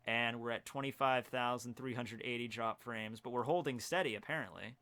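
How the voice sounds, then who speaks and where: Brief: twenties male streamer, bright and clean tone, chatty, talks through what he is doing. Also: medium pitch, a little fast. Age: 20s. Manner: chatty, self-narrating while fixing stream problems. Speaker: stream host. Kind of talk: casual live-stream chat. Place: home room.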